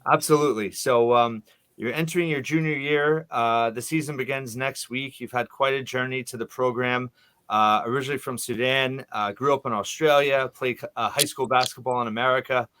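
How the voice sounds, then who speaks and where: A male podcast host conducting a sports interview. He speaks conversationally, in a measured, slightly halting way, at a middling pitch for a man. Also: steady tone, a bit bright, clean tone.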